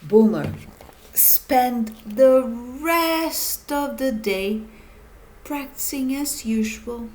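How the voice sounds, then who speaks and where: A woman teacher reading a children's story aloud in a deliberate manner, speaking directly to young listeners. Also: sits high, lively intonation, darkish tone, a little hiss or hum, poor audio. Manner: deliberate, addressing children with questions.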